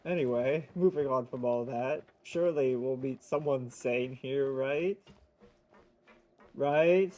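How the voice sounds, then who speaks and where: Dark-voiced young man commentating a game. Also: mid-range voice, slow pace. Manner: reactive, muttering exclamations. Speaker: game streamer. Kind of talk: casual live playthrough commentary.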